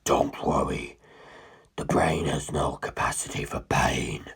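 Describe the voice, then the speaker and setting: A man voicing an ancient, menacing inhuman being in a dramatic story, gravelly and breathy, with grandiose, condescending speech. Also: takes it slowly, whispered, exaggerated voice. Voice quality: very deep, gravelly, husky, breathy. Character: ancient, menacing, superior male non-human predator musing on humans. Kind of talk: dramatic audiobook character reading.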